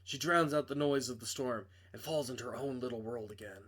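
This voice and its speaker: A man's voice: medium pitch, a little fast, fairly bright tone. A man reading a visual novel aloud as a dramatic narrator, sometimes stumbling over words. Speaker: reader playing a visual novel.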